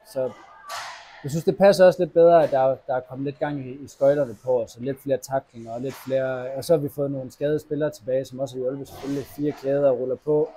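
A young man, a hockey player, answering post-match interview questions in a halting, disappointed way with many fillers and restarts, recorded indoors at an arena press wall. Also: mid-range voice, noisy background. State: disappointed after a loss.

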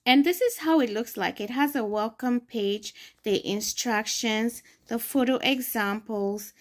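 A woman's voice: fairly high pitch, measured pace. A young adult woman from Ghana, hosting a screen-recorded phone tutorial and explaining each step patiently. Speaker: tutorial host.

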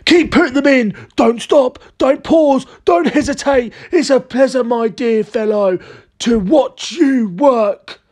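Storybook role: excitable, impatient older man, acted by a narrator, high and swooping, urgent, full of theatrical exclamation. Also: normal speed, hardly any pauses, slightly rough, exaggerated voice. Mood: eager, delighted.

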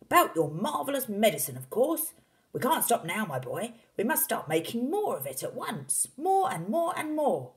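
Lady doing an excitable storybook dad, pushy and shouty, voice jumping all over the place. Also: a little high, moderate pace, voice pushed up. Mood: feverishly excited. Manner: shouting, urgent, exclamatory. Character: excitable, bossy, overeager father hatching grand schemes.

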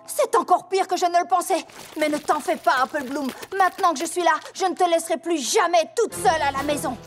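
Really high cartoon big sister pony, doting, fussy, overprotective, music under it. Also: female voice, brisk. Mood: worried, protective. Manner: doting, emphatic, fussing. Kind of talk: animated cartoon dub acting. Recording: music behind the voice.

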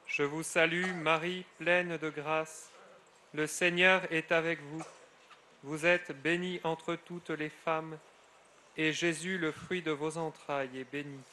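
A middle-aged friar leading solemn prayer in a measured cadence, his phrases falling away at the end. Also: fairly high pitch, level tone, bright, forceful tone, a little hiss or hum. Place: outdoors at a grotto shrine.

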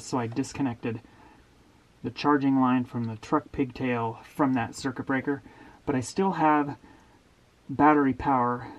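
A middle-aged man walking through a camper wiring upgrade step by step, in a steady, plain-spoken way, recorded in a small indoor space. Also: medium pitch, highs cut off. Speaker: DIY camper owner explaining a wiring upgrade. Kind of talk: hands-on how-to walkthrough.

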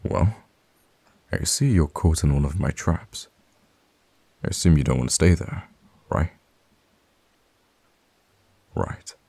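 A mysterious vampire hermit, acted by a man in an intimate audio roleplay, with an extremely low voice and a slow, halting delivery. He is gentle and teasing on the surface, with quiet menace underneath. The tone is dark and mellow. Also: vocal fry, husky, dry recording, exaggerated voice. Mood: wry, teasing. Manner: halting, musing, softly teasing. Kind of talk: ASMR audio roleplay, intimate in-character monologue.

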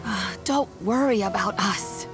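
A woman voicing a kindly, patient elderly granny in a video game, speaking gently. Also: mid-range voice, a little slow, over music, lightly acted. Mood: reassuring.

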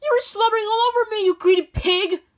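A woman voicing an expressive, indignant young female sheep for a children's storybook. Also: very high voice, fast talker, pitched above the performer's own voice. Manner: exclaiming, expressive.

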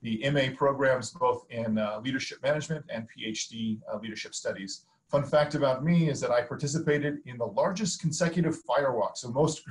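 Halting man, mid-pitched. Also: rough recording. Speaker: admissions counselor. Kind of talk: informal self-introduction. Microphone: video call.

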